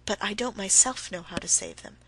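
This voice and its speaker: A woman's voice: mid-range voice, sing-song, brisk, a bit bright, a little hiss or hum, poor audio. A woman voicing an anguished, betrayed wife who cries out in rising, heated protest.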